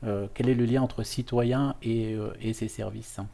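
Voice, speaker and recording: Male interviewer, mellow dark timbre, mid pitch, conversational, hesitant rambling phrasing, educational talk. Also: steady tone, measured pace, creaky. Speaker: host, university professor interviewing a guest.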